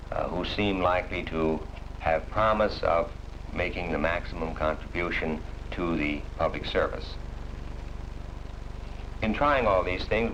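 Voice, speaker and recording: A man giving a formal prepared address as a university program director, in deliberate phrases and long sentences. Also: deep voice, a bit bright. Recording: muffled, noisy 1961 film soundtrack.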